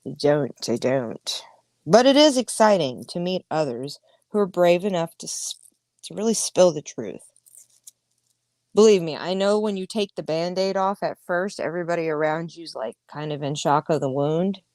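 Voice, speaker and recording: Thirties woman on a livestream, lowish voice, blunt and sweary, very dry sound. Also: animated, normal speed, darkish tone, dry recording. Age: 30s. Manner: candid, profane, emphatic. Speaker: podcast host. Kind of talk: casual livestream monologue.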